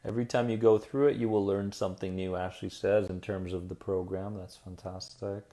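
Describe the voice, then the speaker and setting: A man hosting a live stream as an anxiety coach, upbeat and encouraging as he answers viewer comments, then slow and soothing in a guided visualization. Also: low-pitched, level tone, warm tone. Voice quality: soothing radio-style voice.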